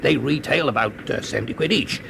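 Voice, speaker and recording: An actor playing a self-assured, cajoling British crook with a mocking menace in a classic radio drama. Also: male voice, mid-range voice, brisk, vocal fry. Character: sly, smooth-talking male crook, coolly menacing schemer. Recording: noisy old radio recording.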